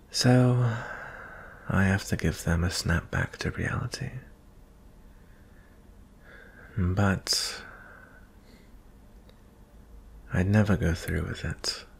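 Male actor as gentle weary fantasy prince, deep voice, husky and breathy, hushed intimate ASMR roleplay, reassuring, unhurried pauses. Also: heavily acted. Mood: earnest, burdened. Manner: slow, hushed, pausing often.

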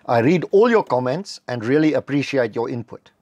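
A middle-aged South African man with an Afrikaans background, narrating a homestead building vlog in a measured, matter-of-fact voice-over. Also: medium pitch, animated, a little mellow, no room sound, dull audio. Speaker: homestead vlogger. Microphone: close voice-over mic.

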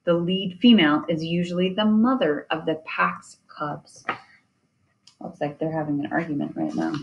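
A woman reading a children's nonfiction picture book aloud in a deliberate way, with chatty asides. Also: sits low, low-quality recording. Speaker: reader of children's science book.

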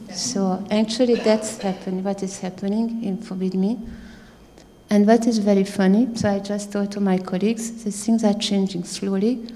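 A woman in her fifties with a low voice, a scientist speaking earnestly on a panel with slightly halting phrasing, holding a handheld mic. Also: dark tone, in a large hall. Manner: earnest, proud, halting non-native phrasing. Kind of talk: panel discussion. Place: theater stage at a panel event.

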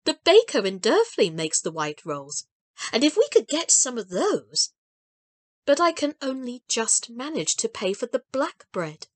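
A woman's voice: fairly high pitch, sing-song, measured pace, dry recording. A woman reading a weary, sober poor mother.

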